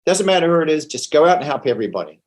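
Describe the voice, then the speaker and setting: A man's voice: a little high, sing-song, very fast. A middle-aged man with a New Zealand accent talks conversationally as an interview guest, often repeating words as he finds his phrasing. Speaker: interview guest, financial advisor.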